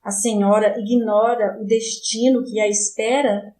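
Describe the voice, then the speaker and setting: A woman voicing a stern older male cardinal who coaxes and threatens, in a measured, solemn audiobook reading. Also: mid-range voice, level tone, warm tone, natural voice. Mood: probing. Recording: roomy echo.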